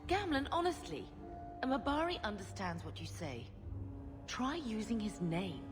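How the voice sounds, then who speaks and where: An actress as a plaintive, expressive noble mother. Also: medium pitch, moderate pace, big-room sound, music in the background, close to an everyday voice. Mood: wounded, chiding. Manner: earnest, dignified, plaintive. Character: proud, wistful middle-aged noblewoman, mother of grown children. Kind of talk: video game voice acting.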